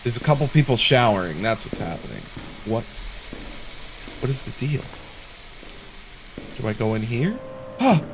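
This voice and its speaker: A man's voice: mid-range voice, expressive, normal speed, pauses often. Sarcastic man gaming over noisy cricket ambience. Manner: sarcastic, jokey muttering to himself. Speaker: gaming content creator. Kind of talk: casual let's play commentary. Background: horror game sounds of crickets and insects.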